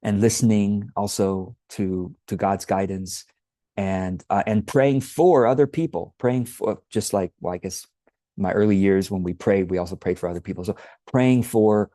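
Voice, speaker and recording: A middle-aged man from Taiwan hosting his own channel, reflecting aloud in a halting, thoughtful way with many restarts. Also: fairly low pitch, mellow, no echo, clean studio sound. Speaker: channel host. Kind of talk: reflective personal monologue.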